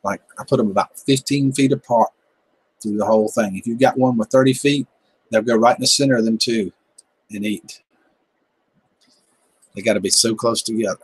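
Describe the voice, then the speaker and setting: A man's voice: medium pitch, animated, moderate pace, long pauses, slightly rough, no echo, poor audio. A man in his fifties with a Southern American accent, chatting casually on a livestream in a laid-back, joking, folksy way. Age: 50s. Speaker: livestream host, gardening channel. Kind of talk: casual chat reading viewer comments.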